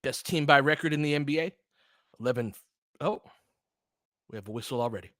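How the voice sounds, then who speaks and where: A man with a bright, forceful voice at a middle pitch hosts a fan play-by-play stream. He talks casually and with firm opinions, addressing listeners directly and slipping into clipped game calls. The sound is dry, with very little room in it. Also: fairly quick, no echo. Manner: conversational, opinionated, answers chat commenters by name.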